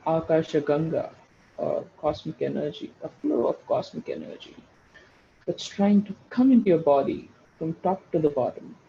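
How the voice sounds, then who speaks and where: A man leading a guided meditation, giving slow, soothing instructions and repeating short phrases for emphasis. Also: on the high side, vocal fry, warm tone, highs cut off, slight background noise. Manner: repeats phrases for emphasis, addresses listeners as friends.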